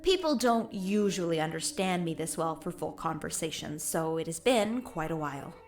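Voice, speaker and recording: A woman playing a mysterious, ancient female ghost in an audio drama, speaking in a formal, cryptic, measured way. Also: sits low, animated, faint music behind. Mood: cryptic, aloof.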